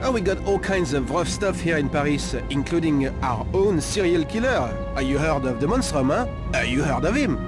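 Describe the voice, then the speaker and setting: An actor playing a sleazy, nervous Paris street informant in a video game cutscene, talking in a halting, insinuating way. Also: male voice, on the high side, animated, over loud music, lightly acted. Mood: sly, insinuating.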